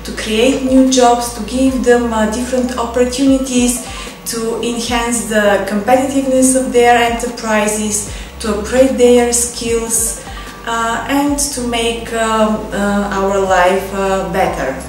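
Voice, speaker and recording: A woman's voice: on the high side, fairly even intonation, slow, a little mellow. A woman with a Bulgarian accent, a local official speaking hesitantly with many fillers. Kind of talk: testimonial to camera. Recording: very echoey room, distant mic, faint music.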